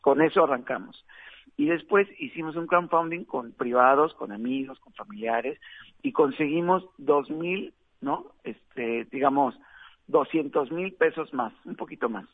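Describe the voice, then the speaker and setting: A man from Mexico with a Mexican accent, a film director giving a relaxed, chatty radio interview with frequent fillers. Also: a little high, measured pace, no room sound. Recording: tinny dry phone line.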